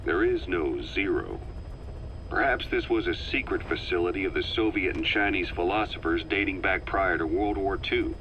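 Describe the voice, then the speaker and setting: A man's voice: sits low, highs cut off, slight background noise, lightly acted. A man voicing a stern middle-aged military officer giving a mission briefing over the radio in a video game.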